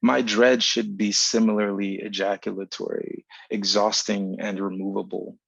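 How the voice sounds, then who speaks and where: Low, gravelly man reading, even delivery. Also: normal speed, dry recording, muffled recording. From South Carolina, United States. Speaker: poet reading own work. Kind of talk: literary reading of an essay. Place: online video call.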